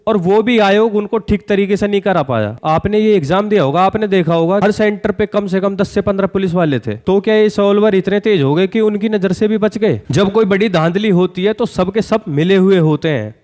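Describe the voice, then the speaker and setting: Male exam-news YouTuber, high-pitched, earnest direct-to-viewer commentary. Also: a little fast, hardly any pauses, darkish tone. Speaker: exam-news YouTuber addressing job aspirants.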